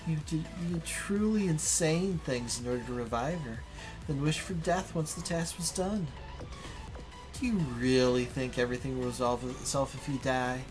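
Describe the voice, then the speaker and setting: Mocking visual novel villainess read by a man, pressing scornful questions theatrically, music clearly underneath. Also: medium pitch, moderate pace, close to an everyday voice, rough recording. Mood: scornful, taunting. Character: mocking, taunting female villain, a dark double.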